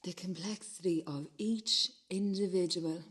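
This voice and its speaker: A woman playing a shaken character who recounts a violent moment in halting, repetitive fragments for a radio play. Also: lowish voice, lightly acted. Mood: stunned, hushed. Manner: halting, repetitive, dropping to whispers.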